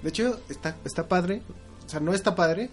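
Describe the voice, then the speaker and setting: A man's voice: sits high, normal speed. A young man with a Mexican accent chats loosely on a podcast, joking and swearing as he tells a story. Faint music plays underneath him. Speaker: podcast co-host. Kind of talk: casual chat.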